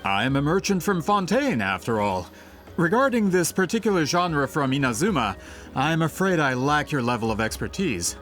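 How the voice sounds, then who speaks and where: Lively, ingratiating merchant. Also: male voice, a little high, normal speed, faint music behind. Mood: apologetic, eager. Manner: courteous, eager, ingratiating. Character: polite, flattering, persistent adult male merchant. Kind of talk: video game voice acting.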